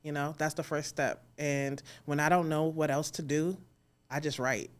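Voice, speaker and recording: A woman's voice: low voice, fairly even intonation, normal speed. A woman speaking casually as an interview guest, in an informal, encouraging way. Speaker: interview guest, audio drama creator.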